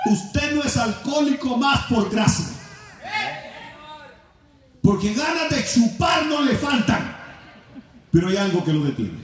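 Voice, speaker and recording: A middle-aged man preaching a sermon, shifting between shouted passages and hushed, low stretches. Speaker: pastor. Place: large church hall. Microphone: handheld mic, filmed from far off. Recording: echoey hall from far off.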